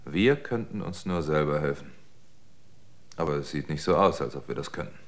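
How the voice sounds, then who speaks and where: A man playing a wary, solitary survivor in a radio drama, speaking in a measured, matter-of-fact, brooding way. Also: rumbling low, animated. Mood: resigned, sober. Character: wary, sober male survivor keeping a solitary log. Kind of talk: German radio drama monologue and dialogue.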